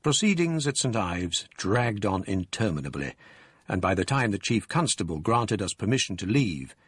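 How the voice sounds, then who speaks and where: An actor narrating as a reflective gentleman, recounting events in a measured, formal way in a radio drama. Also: fairly low pitch, very animated, slightly rough, no echo.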